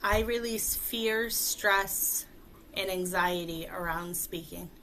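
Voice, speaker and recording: A woman speech coach leading a guided tapping session, speaking slowly and calmly in short phrases for a client to repeat, over a video call. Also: medium pitch, a little hiss or hum, low-quality recording. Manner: slow, calm, leads phrases for repetition.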